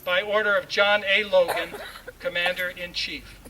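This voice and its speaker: Man, solemn ceremonial reading, oratorical, piercingly bright tone, distant mic with faint noise. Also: high-pitched, level tone, moderate pace. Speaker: ceremonial reader. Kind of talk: solemn formal read-aloud speech.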